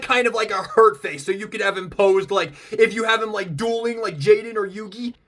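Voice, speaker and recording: A man's voice: high voice, fairly bright tone. A man giving a casual, gushing fan review as he unboxes and shows off a product. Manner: gushing, enthusiastic fan talk. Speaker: toy reviewer.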